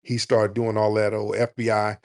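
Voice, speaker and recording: A man hosting a call-in show, talking in a blunt, profane and animated way and sometimes mocking people in a high put-on voice. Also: normal speed. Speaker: host.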